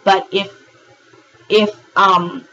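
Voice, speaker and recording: A woman with a hoarse, raspy voice from a recent virus, giving a monthly tarot reading in a rambling way, with frequent ums and restarts. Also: mid-range voice, little pitch movement, drawn-out words, sharp tone, lo-fi sound, slight background noise. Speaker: tarot reader.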